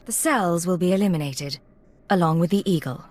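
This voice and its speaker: An actress playing a composed secret agent in a video game, speaking in a controlled, understated, quietly confident way. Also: female voice, fairly low pitch, brisk, close to an everyday voice. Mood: cool, confident.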